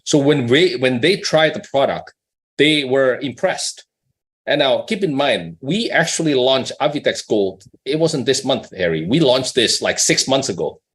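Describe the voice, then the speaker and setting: A man's voice: mid-range voice, lively intonation, a little slow. Conversational male company executive explaining things. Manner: conversational, checks in with "right?" and rhetorical questions. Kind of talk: explanatory business talk on an earnings call.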